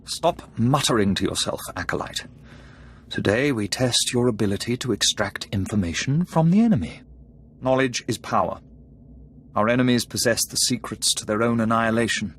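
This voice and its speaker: An actor playing a cold, contemptuous Sith overseer who gives curt orders in a science fiction game. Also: male voice, mid-range voice, lively intonation, moderate pace, a bit bright, slight background noise, lightly acted. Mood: scornful, commanding. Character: stern, contemptuous Sith overseer instructing an acolyte in torture.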